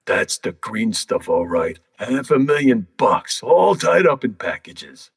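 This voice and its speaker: A man playing a gruff safecracker in an old-time radio crime drama, with a husky, gravelly gangster delivery. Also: medium pitch, very animated, slow pace, heavily acted. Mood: eager, hushed. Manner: gruff, slangy gangster talk. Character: gruff, tense male safecracker crook.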